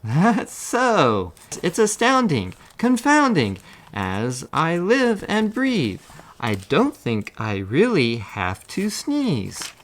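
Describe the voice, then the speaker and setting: A man's voice: on the high side, huge pitch swings, slow, fairly bright tone, pitched above the performer's own voice, heavily acted. A man voicing a big, apologetic, easily frightened elephant in a children's storybook. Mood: amazed, amused.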